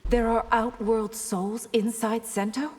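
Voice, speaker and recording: An actress playing a proud, haughty warrior princess in a fantasy video game, husky, cool and challenging. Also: female voice, a little high, little pitch movement, measured pace, a little mellow. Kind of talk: video game fight banter. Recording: reverberant game hall sound.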